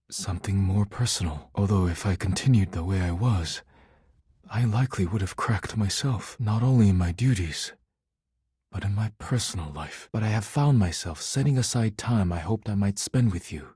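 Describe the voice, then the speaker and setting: A man voicing an earnest, proud poet in a video game, speaking in formal, solemn, stilted diction with a husky voice. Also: lowish voice, normal speed, a little mellow, no room sound, studio quality. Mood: earnest, reflective. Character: earnest, proud male poet devoted to dishware, formal and intense. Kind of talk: video game character voice acting.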